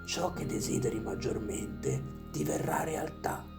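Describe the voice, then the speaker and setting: A narrator voices a wise old owl from a fairy tale. He speaks in a hushed, measured way with a gravelly creak, calm and knowing, over music. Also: mid-range voice.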